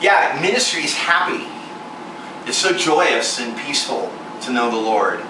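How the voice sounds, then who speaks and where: A man in his forties giving a candid, self-mocking personal testimony sermon, with sudden jumps in pitch for emphasis. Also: animated, unhurried, far from the mic. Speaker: ministry performer speaking to a congregation. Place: large echoey venue room with air conditioning hum. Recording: echoey room recorded from afar with loud air-conditioner hiss.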